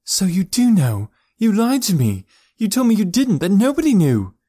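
A man's voice: a little high, lively intonation, normal speed, mellow, dry recording, voice pushed up. A man voicing a hot-tempered, defiant and hurt teenage girl in audiobook dialogue. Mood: angry, betrayed.

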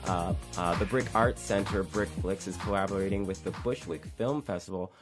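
Upbeat low-voiced male radio host. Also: normal speed, over music. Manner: upbeat, enthusiastic interviewer. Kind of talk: casual radio interview.

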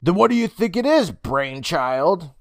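A reader voicing a bold, bossy young girl who gives brisk, exclamatory orders. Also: mid-range voice, sing-song, creaky, fairly bright tone, no echo, clean studio sound, voice pushed up.

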